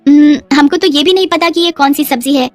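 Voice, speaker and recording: A woman voicing a cheerful, clueless young bride in a story, speaking slow, sing-song broken Hindi. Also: high voice, no echo, faint music behind, voice pushed up, natural voice. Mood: hungry, excited.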